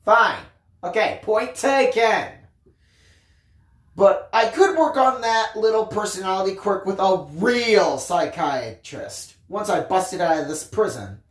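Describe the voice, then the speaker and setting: Animated story reader in an echoey room. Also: medium pitch, takes it slowly. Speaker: audiobook reader, story time host. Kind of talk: expressive first-person story narration.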